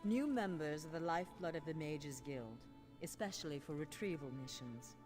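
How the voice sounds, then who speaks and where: An actress playing a scholarly, businesslike mage official in a fantasy video game, formal and polite. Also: female voice, deep voice, lively intonation, moderate pace, darkish tone. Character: scholarly, businesslike female mages guild official. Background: game music.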